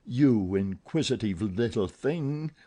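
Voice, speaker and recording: A man's voice: medium pitch, very animated, unhurried, mellow, slightly rough. A man voicing an angry, jealous masked man who snarls commands and mockery in an audiobook. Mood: menacing. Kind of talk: audiobook character voice.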